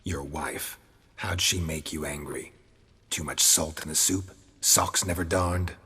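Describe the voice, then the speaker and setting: An actor playing a stern, cynical monster hunter in a video game, with a gravelly rasp and a terse, dry, sardonic manner. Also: male voice, rumbling low, steady tone, a little slow, bright, forceful tone, heavily acted.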